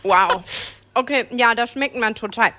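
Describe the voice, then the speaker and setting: A woman's voice: on the high side, measured pace, bright, forceful tone. A woman working as a radio field reporter, chatting enthusiastically and casually live on location, often while tasting food. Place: inside an ice cream parlour. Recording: background noise of an ice cream parlour.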